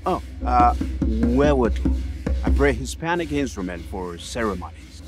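A man playing an earnest shaman and history teacher in an audio drama, with a measured, mystical manner. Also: medium pitch, sing-song, loud music behind the voice. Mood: friendly, proud.

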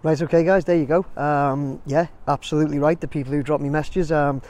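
A man's voice: fairly high pitch, steady tone, a little fast, a little mellow. A man with an English accent casually narrating his drone footage outdoors, rambling and chatty. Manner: rambling, chatty, addresses viewers as guys. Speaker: drone videographer. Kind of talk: casual vlog commentary. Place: outdoors near a construction site.

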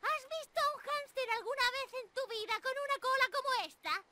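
A woman voicing a young squirrel monster girl in an anime-style ASMR roleplay, excitable, ditzy and stammering. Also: extremely high pitch, fairly quick, a little hiss or hum. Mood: playful, bubbly. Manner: bubbly, excitable, stammering, giggly.

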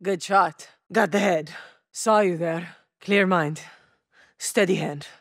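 An actress voicing a fierce young female soldier in a video game, delivering short combat callouts, shouted warnings and quiet, steady lines. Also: lowish voice, slow pace, no echo, studio quality. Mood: low, solemn. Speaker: playable game operator.

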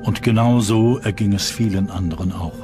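A male actor plays a grave medieval chronicler recounting horrors for a documentary, in standard German. The voice is fairly low and solemn, with music clearly behind it. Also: moderate pace, lightly acted. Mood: sombre, grieving. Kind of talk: dramatised reading of old chronicles. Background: background music.

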